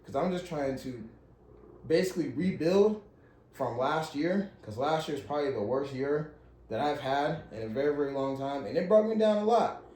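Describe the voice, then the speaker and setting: A man's voice: on the high side, expressive, normal speed, warm tone, echoey room. A man in his thirties chatting casually as a podcast co-host, in an earnest, slangy, repetitive way. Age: 30s. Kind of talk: casual chat.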